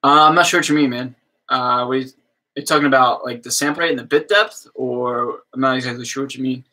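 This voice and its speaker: A young man from the US East Coast with a slow drawl, chatting casually with viewers on his livestream and padding his talk with fillers. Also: mid-range voice, steady tone, sharp tone, poor audio. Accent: American East Coast. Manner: slow drawl, lots of fillers like "you know what I mean". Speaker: livestream host, musician.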